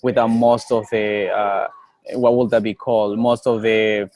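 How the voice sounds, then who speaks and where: A man's voice: fairly low pitch, little pitch movement, a little slow, a little mellow. A young man from Colombia whose first language is Nasa, speaking as a guest in an earnest way and often repeating words as he searches for them. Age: young adult. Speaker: guest, coffee business owner. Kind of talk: casual interview.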